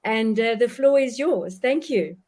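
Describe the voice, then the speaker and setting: A woman's voice: a little high, animated, measured pace. A woman hosting a professional webinar, introducing the guest speaker with many restarts. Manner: warm, effusive, many restarts. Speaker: webinar host. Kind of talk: event introduction.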